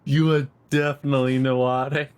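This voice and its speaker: A man's voice: mid-range voice, little pitch movement, fairly quick, clear, resonant tone. A man playing a tabletop game, joking and laughing as he rambles through his lines. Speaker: player. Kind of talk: casual tabletop role-play.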